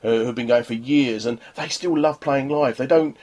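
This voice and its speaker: A man's voice: mid-range voice, moderate pace, darkish tone. A man hosting a casual radio interview, chatting in a rambling way with frequent tag questions. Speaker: radio show host.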